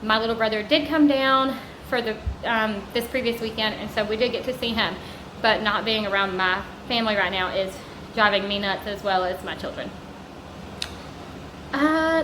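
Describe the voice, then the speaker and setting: A woman's voice: on the high side, moderate pace, reverberant, background noise. A woman in her thirties with a Southern US accent, chatting casually to camera in a rambling vlog. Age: 30s. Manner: chatty, rambling, warm asides to viewers. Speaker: vlogger. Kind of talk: casual sit-down chat to camera. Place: vacation home indoors.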